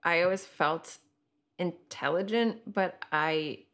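A woman's voice: fairly low pitch, slow pace, a bit bright. A woman co-hosting a podcast, talking in a supportive, reflective way and agreeing often. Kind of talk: casual podcast chat.

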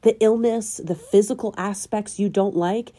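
Nasal-sounding woman with a dark mellow voice. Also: mid-range voice, steady tone, normal speed. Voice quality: slightly nasal. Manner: earnest, probing rhetorical questions. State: getting over a cold. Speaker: podcast host. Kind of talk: spiritual self-help monologue.

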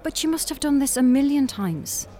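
A woman's voice: sits high, expressive, dark tone, soft background music. An actress with an Irish accent playing a frank, weary woman on a first date in an audio drama. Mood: curious. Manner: frank, dry, brisk. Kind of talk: naturalistic audio drama dialogue.

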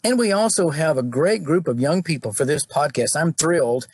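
A man's voice: a little high, animated, normal speed, a little mellow, breathy edge. A man hosting a podcast, giving friendly welcoming introductions. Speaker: podcast host.

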